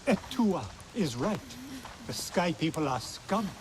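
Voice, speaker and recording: A blunt, scornful alien clansman in a cinematic game. He speaks slowly, with lively rises and falls, over clear background noise. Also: on the high side. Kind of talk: cinematic video game acting.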